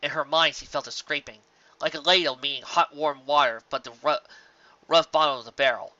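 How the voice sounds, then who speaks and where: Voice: mid-range voice, fairly even intonation, a little fast, pushed and bright, no room sound. A narrator reading fan fiction aloud on a dull, close recording.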